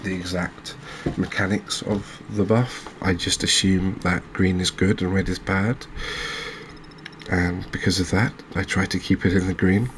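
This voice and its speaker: A man with an English accent giving soft-spoken ASMR game commentary in a hushed, unhurried, hesitant manner. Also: sits low, flat delivery, slight background noise. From England. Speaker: gaming video host.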